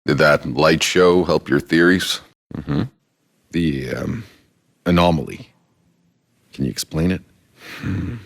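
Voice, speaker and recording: An actor playing a world-weary, sardonic soldier in a video game, gravelly, dry and blunt. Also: male voice, a bass voice, fairly bright tone, dry recording, full character voice. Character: gruff, world-weary male Outrider soldier, sardonic and foul-mouthed.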